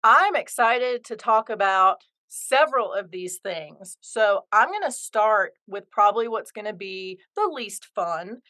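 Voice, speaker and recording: A woman's voice: medium pitch, expressive, measured pace, few pauses, pushed and bright. A woman hosting a podcast interview, upbeat and enthusiastic. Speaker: podcast host.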